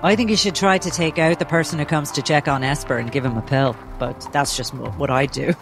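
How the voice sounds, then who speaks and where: A man's voice: a little high, fairly even intonation, a little fast. A man taking part in a tabletop roleplaying game, chatting casually as he describes his character's moves. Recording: music bed under the voice.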